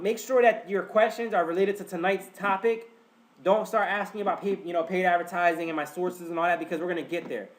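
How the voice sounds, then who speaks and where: Stuffed-up man hosting a webinar. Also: high voice. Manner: chatty, repetitive, addresses audience as guys. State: stuffed-up nose. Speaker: webinar host. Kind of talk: casual live webinar teaching.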